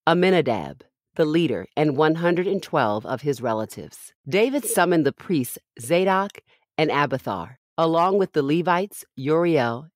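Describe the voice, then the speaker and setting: A woman's voice: deep voice, lively intonation, unhurried, dry recording. Woman reading scripture, very dry close sound. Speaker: podcast host and scripture reader.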